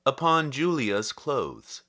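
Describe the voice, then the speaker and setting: A man reading classic poetry aloud in a theatrical, deliberate and expressive manner, as a podcast host. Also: mid-range voice. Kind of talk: dramatic poetry recitation.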